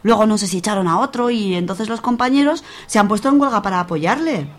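A woman's voice: mid-range voice, animated, quick pace, natural voice. A young woman acting a sensible, good-natured, patient friend in an amateur radio soap, conversational and mildly exasperated. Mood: earnest, exasperated. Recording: clear background noise.

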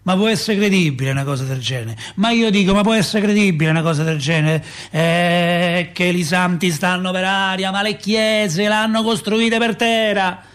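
An actor playing a talkative middle-aged working-class Roman father, a doorkeeper, in an expressive, rambling radio-play monologue with a Roman accent and a husky voice. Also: sits high, normal speed, few pauses. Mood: exasperated. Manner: expressive, rambling, repeats himself and asks rhetorical questions. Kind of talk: theatrical radio monologue, colloquial storytelling.

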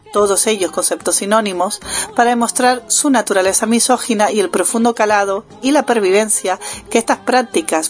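Woman reading an academic radio column. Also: medium pitch. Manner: measured, academic delivery with small self-corrections.

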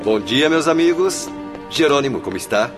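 An actor playing a polite, obliging hospital attendant in a radio drama. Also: male voice, mid-range voice, expressive, normal speed, music in the background, close to an everyday voice. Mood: courteous.

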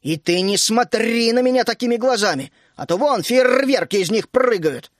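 Fierce gnome matron, loud and scolding, a male narrator putting her on; high, dry read. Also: expressive, moderate pace, dry recording. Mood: furious. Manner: shouting, scolding, indignant. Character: stern bossy female gnome housewife, fiery.

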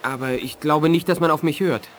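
An actor playing an earnest, doubtful, deferential military captain in a science fiction radio drama. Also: male voice, a little high, a little fast, slight background noise, lightly acted.

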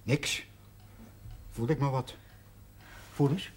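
An actor playing an anxious, self-pitying husband in a 1970s TV drama, fretful and hesitant. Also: male voice, medium pitch, fairly even intonation, takes it slowly, natural voice. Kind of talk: 1970s television drama acting. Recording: noisy old TV soundtrack.

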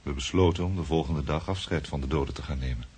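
Dark, low-voiced, earnest man. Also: quick pace, husky. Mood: sober, pensive. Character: sober, earnest male friend, thoughtful witness to the uncanny. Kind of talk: literary radio drama narration. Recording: faint background noise.

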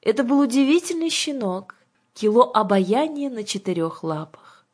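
A woman's voice: a little high, lively intonation, moderate pace, darkish tone, breathy edge, pitched above the performer's own voice. Audiobook lady played by a female reader: polite, cool, slightly bored, matter-of-fact, music behind. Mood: calm, casual.

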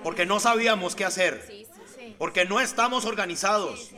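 High, forceful concerned villager. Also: male voice, normal speed. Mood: urgent. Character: urgent, concerned villager calling for organisation. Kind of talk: radio drama acting.

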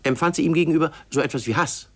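An actor playing a formal, insistent interrogating official who presses leading questions in a 1950s German radio drama. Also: male voice, fairly high pitch, very fast, fairly bright tone. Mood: probing.

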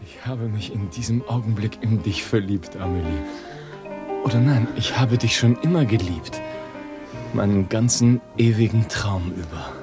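A man's voice: on the low side, moderate pace, natural voice. An actor playing a smitten young man in a radio drama, tender and wooing. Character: young man newly awakened, smitten lover. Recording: music under the voice.

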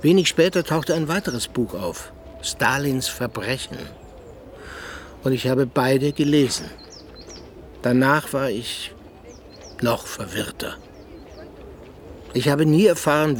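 An actor playing a disillusioned assassin and former prisoner in a radio drama, speaking in an earnest, brooding way. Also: male voice, medium pitch, a little slow, long pauses, a little hiss or hum, lightly acted. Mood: brooding, reflective. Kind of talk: German radio drama acting.

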